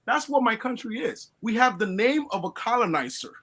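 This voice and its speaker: A man's voice: very high voice, very animated, normal speed, bright, forceful tone, husky. A man from Colombia joins a live stream to lay out his views in earnest, restarting his sentences as he goes. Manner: earnest, lecturing, many restarts. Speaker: guest caller. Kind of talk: casual opinion talk.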